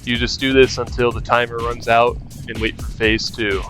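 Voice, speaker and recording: A man's voice: mid-range voice, little pitch movement, normal speed, a bit bright, soft background music. A man giving casual gameplay walkthrough commentary as a video game guide narrator.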